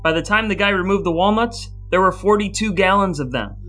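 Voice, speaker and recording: A man's voice: high voice, brisk, fairly bright tone, soft background music. A man hosting a podcast, moving between scripted introductions and casual banter. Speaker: podcast host.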